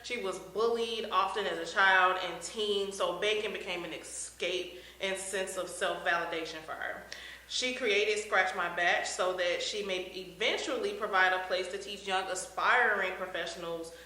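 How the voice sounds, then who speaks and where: A woman interviewer in a casual chat who speaks brightly, laughs often and uses many fillers. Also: medium pitch, fairly even intonation, normal speed, echoey room, rough recording.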